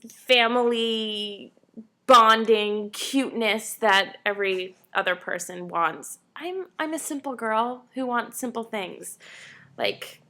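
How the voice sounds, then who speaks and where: A woman's voice: fairly high pitch, slow pace, sharp tone. A woman in her late twenties, a tired vlogger chatting casually and self-deprecatingly to camera. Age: 20s.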